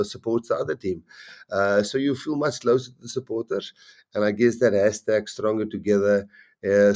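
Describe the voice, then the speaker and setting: A man's voice: on the low side, a little mellow. A middle-aged man with a strong Afrikaans accent, a rugby coach chatting casually on a live stream, rambling and restarting his sentences often. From South Africa. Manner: rambling, halting, frequent restarts.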